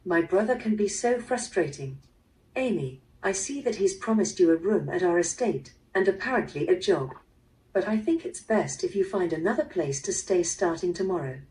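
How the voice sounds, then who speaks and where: Haughty, proper rich daughter female actor, lower-middle register, radio drama style, somewhat off-mic. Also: animated, moderate pace, a little mellow, natural voice. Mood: disapproving. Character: impatient, haughty daughter of a wealthy family. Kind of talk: mystery audio drama acting. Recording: distant, played through a small speaker.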